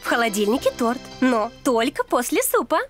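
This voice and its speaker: A woman playing a kind but firm mother of several children in an animated cartoon. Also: a little high, normal speed. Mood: gently firm. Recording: music under the voice.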